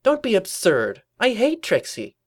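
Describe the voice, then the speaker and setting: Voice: mid-range voice, big pitch swings, normal speed, pitched above the performer's own voice, close to an everyday voice. A performer voicing an indignant young female cartoon pony revolutionary, declaiming and snapping defensively in a story reading.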